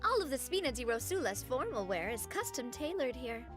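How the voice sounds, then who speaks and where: High, spirited young lady boss. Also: big pitch swings, fairly quick. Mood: warm, teasing. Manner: emphatic, theatrical. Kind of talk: anime-style video game acting. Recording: clear music behind the voice. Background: music.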